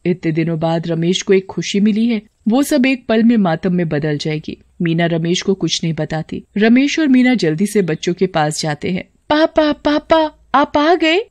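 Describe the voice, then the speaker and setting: A woman's voice: lowish voice, lively intonation, moderate pace, few pauses, a little mellow. Woman narrating a cartoon story.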